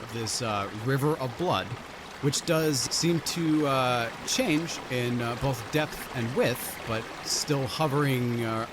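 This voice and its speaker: A man working as game master, narrating a tabletop roleplaying game in a halting, deliberate way that leans on key words. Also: medium pitch, background noise. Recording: music behind the voice. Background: background music.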